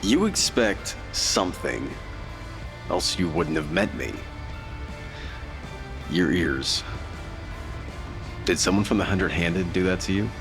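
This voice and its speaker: Very deep-voiced, wary male investigator. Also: lively intonation, slow, gravelly, pauses often, full character voice. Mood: suspicious. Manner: terse, guarded, dry. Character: gruff, wary, world-weary male investigator. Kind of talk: audio drama acting. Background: prominent music.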